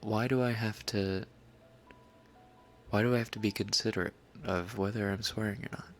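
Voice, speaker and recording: A man chatting casually and softly on a late-night ASMR live stream, laid-back and swearing now and then. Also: on the low side, steady tone, moderate pace, faint music behind.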